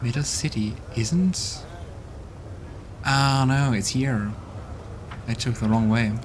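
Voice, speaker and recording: A man's voice: medium pitch, slow pace, a little hiss or hum. A man hosting a role-playing game let's play, chatting casually and musing aloud as he plays. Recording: game music playing behind.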